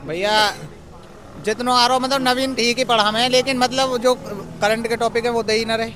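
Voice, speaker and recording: A man's voice: very high-pitched, fairly even intonation, normal speed. A young man, an exam candidate giving a plain-spoken, complaining informal review. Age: young adult. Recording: busy outdoor street, crowd and traffic noise.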